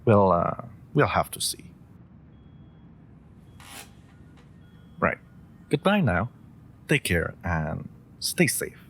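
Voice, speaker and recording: A man's voice: very animated, slow, fairly bright tone, heavily acted. A man playing a polite, theatrical supervillain in a scripted audio roleplay, speaking courteously with hesitant asides and faint menace. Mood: cordial, measured. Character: polite, theatrical male supervillain interviewing a job applicant. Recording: faint background ambience and rumble.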